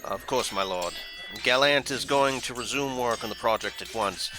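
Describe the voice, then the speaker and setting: A man's voice: mid-range voice, normal speed, music in the background, lightly acted, low-quality recording. A man playing a servile alien henchman, eager to please, reporting to his lord in an audio drama.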